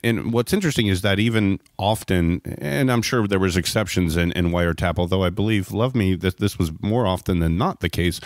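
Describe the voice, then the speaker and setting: Low-voiced man hosting a podcast. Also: no echo, studio quality. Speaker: podcast host. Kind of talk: conversational interview.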